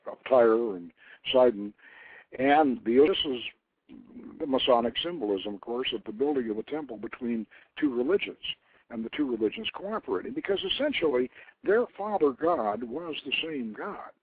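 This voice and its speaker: A man hosting a radio show, explaining things informally in a halting way, repeating and rephrasing as he goes. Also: medium pitch, lively intonation, a little hiss or hum. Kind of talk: informal explanatory talk, taking calls. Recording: narrow phone-like internet radio line.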